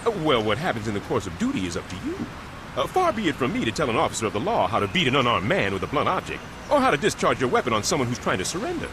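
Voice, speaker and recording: Slick, fast-talking crooked man. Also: medium pitch, huge pitch swings, background noise. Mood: sly. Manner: wordy, mock-innocent, insinuating. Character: slick, sly, corrupt male lawyer type. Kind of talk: video game cutscene acting.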